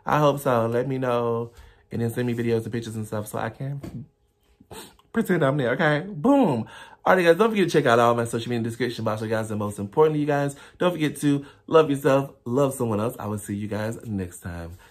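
A man's voice: mid-range voice, a little fast. A young man reacting to a music video trailer in his living room, talking casually with playful, dramatic exclamations. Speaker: reaction YouTuber. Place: small home living room, indoors.

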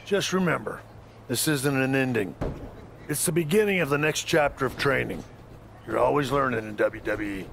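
A man voicing a wise, encouraging wrestling trainer with a gravelly voice in a video game. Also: medium pitch, lively intonation, normal speed, fairly bright tone, a little hiss or hum. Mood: advising. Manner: encouraging, mentoring.

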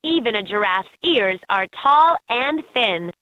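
A woman's voice: on the high side, expressive, a little slow, bright, forceful tone. A woman reading scripted narration as the guide in a children's learning game, recorded as lo-fi toy audio. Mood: instructive.

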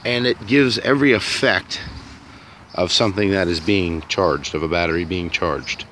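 Deep-voiced man musing outdoors. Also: moderate pace, fairly bright tone, a little hiss or hum. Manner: rambling, unsure, hedging aloud. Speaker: DIY vlogger. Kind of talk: casual thinking-aloud commentary. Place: outdoors in a sunny yard.

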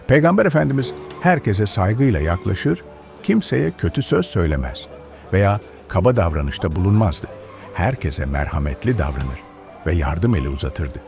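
A kind, patient village grandfather in an educational children's cartoon. His voice is creaky and very expressive, moving freely in pitch as he gently explains good manners. Soft music sits behind him. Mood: patient, instructive. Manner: instructive, gentle moralizing.

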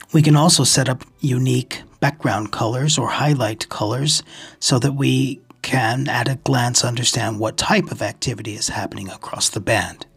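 A man narrating an explanatory screen-recording video as host, in a measured, deliberate manner with a husky, gravelly voice. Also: medium pitch. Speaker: ham radio video host.

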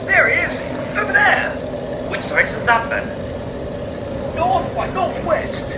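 Guy doing panicky pursuer in radio farce, super high yelling, far off, loud engine noise. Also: very animated, unhurried, reverberant, full character voice. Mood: excited, frantic. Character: frantic bumbling pursuers in an aircraft, panicky. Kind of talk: farcical radio play shouting.

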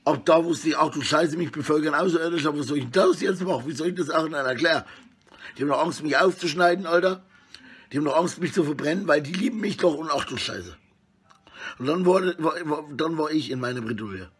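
A middle-aged man with a husky voice rambles casually and coarsely in slang, talking to his phone at arm's length indoors. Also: fairly high pitch, fast talker, fairly bright tone. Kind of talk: rambling casual selfie monologue. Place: small indoor room at home.

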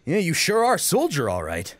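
A man's voice: a little high, huge pitch swings, normal speed, a bit bright, no echo, voice pushed up. A man voicing a friendly, admiring young rebel fighter in an audiobook, chummy and enthusiastic. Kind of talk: audiobook character acting.

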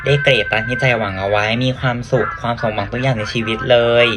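Fast-talking chatty man over music. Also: fairly low pitch, steady tone. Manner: chatty, calls self older sibling, uses feminine polite particles. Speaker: actor giving a holiday greeting to children. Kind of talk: casual scripted-free greeting to viewers.

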